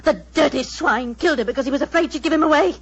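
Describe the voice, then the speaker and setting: A woman's voice: sits high, very fast. An actress playing a distraught, crying young woman in fear for her life, melodramatic in 1940s radio style. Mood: tearful, terrified. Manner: melodramatic, overwrought. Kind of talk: 1940s radio drama acting. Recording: muffled, hissy old radio recording.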